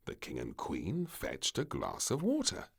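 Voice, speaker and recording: A male storybook narrator reading for children. He takes his time, telling the story dramatically with huge swings of pitch. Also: huge pitch swings, measured pace, vocal fry, husky.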